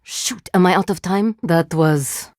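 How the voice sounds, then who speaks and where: An actress voicing a calm, clinical reanimated woman agent who commands drones, speaking in a precise, formal, deadpan way. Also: fairly low pitch, unhurried, dry recording, clean studio sound. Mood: detached clinical. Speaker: video game character. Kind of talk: game voice lines. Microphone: close studio mic.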